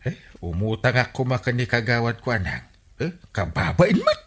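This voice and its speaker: A man's voice: mid-range voice, huge pitch swings, normal speed, a bit bright. A man acting a flustered, blustering village captain with a Filipino accent in a comedy radio drama. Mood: evasive. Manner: blustering, exclamatory, swooping interjections. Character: male village captain Inteng, excitable, flustered debtor.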